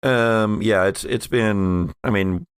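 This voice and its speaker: A man narrating a tabletop game as game master, improvising descriptions in a halting way. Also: lowish voice, smooth, no echo, studio quality. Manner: halting, pieces descriptions together as he goes.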